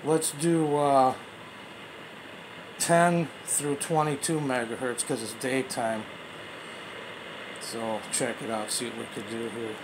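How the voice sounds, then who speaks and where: A man's voice: mid-range voice, steady tone, slow, long pauses, very noisy. A man giving a casual, hands-on product demonstration in a plainspoken, folksy way, as a reviewer talking while he works the controls. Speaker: radio reviewer, presenter.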